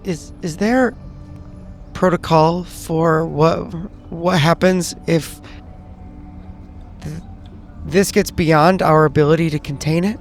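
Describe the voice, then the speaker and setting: A man's voice: fairly high pitch, takes it slowly, long pauses, soft background music. A man playing a tentative new profiler agent in a tabletop roleplay, speaking in short, hesitant phrases. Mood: tentative, careful. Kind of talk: improvised tabletop roleplay dialogue.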